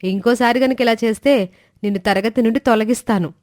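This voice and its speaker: A woman's voice: fairly high pitch, a little fast, darkish tone, dry recording, clean studio sound, lightly acted. A woman playing a stern but forgiving mathematics teacher in a children's story. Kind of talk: acted dialogue in a children's moral story.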